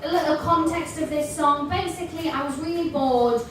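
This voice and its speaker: A woman's voice: high voice, normal speed, fairly bright tone, slight background noise. A woman from North East England hosting a live comedy show with a bubbly manner and hyping up the crowd. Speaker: show host. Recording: echoey live venue from a distance, crowd.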